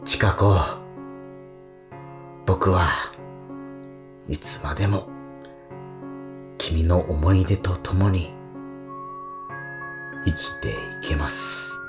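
Tender old husband, low-voiced. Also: male voice, steady tone, over music. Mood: grieving, wistful. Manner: slow, halting, sentimental. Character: tender, grieving elderly husband recalling his life. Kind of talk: radio drama acting and narration.

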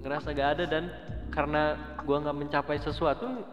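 A man's voice: a little high, little pitch movement, brisk, fairly bright tone. A man in his twenties hosting a casual podcast chat, candid and self-doubting, rambling with fillers. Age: 20s. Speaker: podcast host. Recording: echoey, dull lo-fi podcast with music bed.

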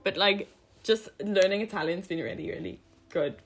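A woman's voice: medium pitch, animated, moderate pace, breathy edge. A young woman from Africa, co-hosting a casual podcast chat and rambling as she corrects herself. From South Africa. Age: young adult. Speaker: podcast co-host.

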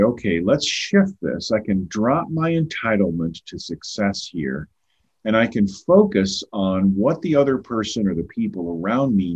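Husky older man with a dull lo-fi sound. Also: fairly low pitch. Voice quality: loud voice. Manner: earnest, repeats words when starting phrases. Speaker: guest. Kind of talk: conversational podcast talk.

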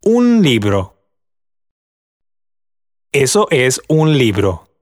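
Slow, deliberate Argentine man modeling phrases. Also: mid-range voice. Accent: Argentine Spanish. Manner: clear, deliberate, lively intonation. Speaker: language guide.

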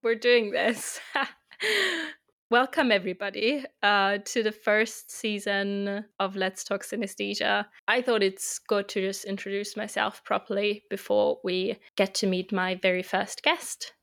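A woman's voice: mid-range voice, flat delivery, unhurried, gravelly, fairly bright tone, no room sound, studio quality. A woman in her twenties from Germany with a German accent, casually introducing her podcast as host in English, her second language. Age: 20s. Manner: chatty and upbeat, with fillers and laughs.

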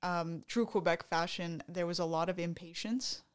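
A woman's voice: on the low side, moderate pace. Chatty young Canadian woman. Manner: chatty, storytelling with many fillers and restarts. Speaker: podcast co-host. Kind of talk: casual chat.

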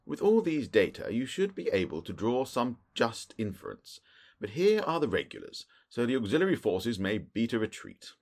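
A man acts out a keen male detective from a storybook, pitched up from a low natural voice. He is precise, dry and sure of himself, explaining things incisively with lively melody. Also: moderate pace. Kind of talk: audiobook character voice.

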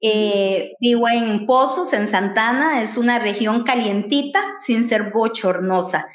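A Costa Rican woman co-hosting a faith podcast, talking in a lively, encouraging way. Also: on the high side, measured pace. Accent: Costa Rican Spanish. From Costa Rica. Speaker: podcast co-host. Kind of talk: casual chat. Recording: echoey room with faint music.

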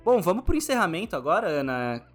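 A man's voice: high-pitched, very animated, fast talker, music in the background. A man with a Brazilian accent, hosting a podcast and chatting casually with a guest. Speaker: podcast host. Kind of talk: casual interview chat.

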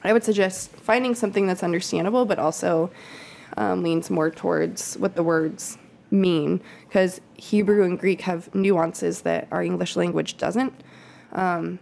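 A woman who serves as a children's pastor leads an informal Bible study on a podcast. She talks in a relaxed, rambling way, with ums and little laughs. Her voice has a noticeable creak. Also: on the low side, unhurried, a little hiss or hum. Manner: chatty, laughing asides, frequent ums. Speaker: kids' pastor.

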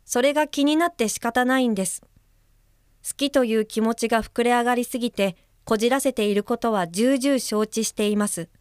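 An actress playing a polite, conscientious young female guide-helper in a radio drama, reading a letter aloud in a soft, measured voice. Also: a little high, smooth, dry recording, clean studio sound, lightly acted. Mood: earnest. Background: music under the voice.

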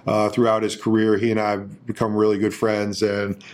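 A middle-aged man answering interview questions over a video call, relaxed and repeating words as he goes. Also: on the low side, moderate pace. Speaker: guest, parent and businessman.

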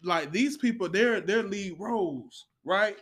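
An American man in casual group chat as a play cast member, excitable and repeating phrases for emphasis. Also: high-pitched, measured pace, husky.

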